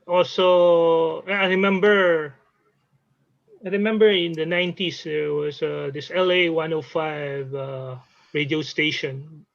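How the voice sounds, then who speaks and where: Filipino guy, slow n halting, gravelly creak, casual chat, kinda lo-fi call audio. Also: a little high, fairly even intonation. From Philippines. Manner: hesitant, halting, agreeing. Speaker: guest, sound artist.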